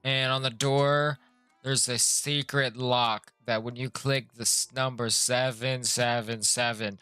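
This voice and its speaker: A man's voice: medium pitch, fairly even intonation, slow, pushed and bright, smooth, no echo, soft background music. A man streaming a game with casual, laid-back, joking and slangy commentary. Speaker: live streamer.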